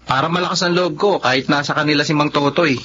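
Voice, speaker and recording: A young Filipino working man in a radio drama. His voice sits a little high and sounds earnest. The audio is slightly dull with faint noise. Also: fairly even intonation, fast talker, sharp tone. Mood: easygoing. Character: frustrated young working man, devoted boyfriend.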